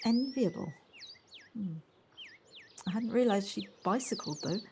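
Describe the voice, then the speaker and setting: A woman's voice: medium pitch, animated, measured pace, dark tone. A woman acting a polite, slightly hesitant, well-spoken woman in an audio play, recorded at home. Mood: friendly, polite. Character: Jocelyn, polite warm woman settling into a house. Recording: home recording on personal device, faint noise.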